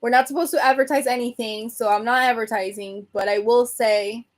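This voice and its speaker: A woman hosts a relaxed livestream, chatting loosely with her viewers and laughing easily. Her voice sits a touch high and has grown hoarse from a long stretch of talking. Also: fairly quick. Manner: chatty, rambling, reads and answers comments, laughs often. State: voice getting hoarse. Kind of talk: casual livestream chat.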